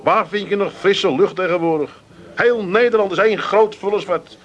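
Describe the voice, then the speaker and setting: A city-bound Amsterdammer from a comic radio play. His voice sits a little high for a man. He is dry and sceptical, with a grumbling streak. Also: normal speed, fairly bright tone. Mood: grumbling refusal.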